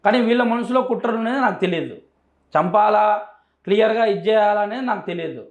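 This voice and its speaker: Male interviewee, Rayalaseema Telugu accent, high voice, emphatic and repetitive delivery, roomy echo. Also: a little fast, dull audio. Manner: emphatic, repeats phrases for emphasis. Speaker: interview guest. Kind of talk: answering interview questions. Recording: echoey, roomy sound.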